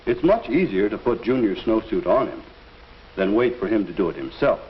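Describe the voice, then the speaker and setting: An actor playing a patient male teacher in a 1950s educational film, speaking in a measured, instructive way on an old soundtrack. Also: lowish voice, lively intonation, highs cut off, close to an everyday voice. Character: patient adult male school teacher counseling students.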